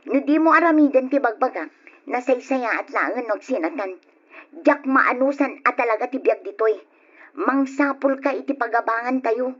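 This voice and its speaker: A woman's voice: sits high, moderate pace. A woman acting a discontented, nagging young wife and mother in an Ilocano radio drama, with an Ilocano accent. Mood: nagging, fed up. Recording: dull, lo-fi old radio recording.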